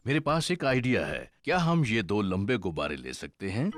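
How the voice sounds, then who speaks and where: A man's voice: on the low side, very animated, normal speed, loud music behind the voice. A man voicing a jolly daddy pig entertaining children in a cartoon, playful and reassuring.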